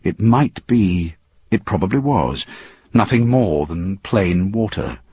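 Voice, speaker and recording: A man with a husky voice narrating a scripted story in a dramatic reading style. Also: sits low, lively intonation, takes it slowly, mellow, dry recording. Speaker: audiobook narrator.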